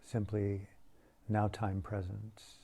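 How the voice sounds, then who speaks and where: A man with a low, mellow voice teaches a guided meditation. He speaks very slowly and deliberately in short phrases, leaving long pauses. His delivery is hushed. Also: completely flat delivery, gravelly, husky.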